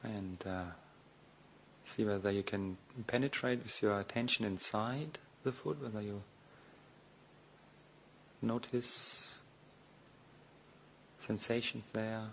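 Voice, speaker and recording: Male, meditation guide, fairly low pitch, halting step-by-step instruction with generous pauses, slight background noise. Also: gravelly, a bit bright. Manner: slow, hesitant delivery with long pauses. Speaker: meditation teacher, Buddhist monk.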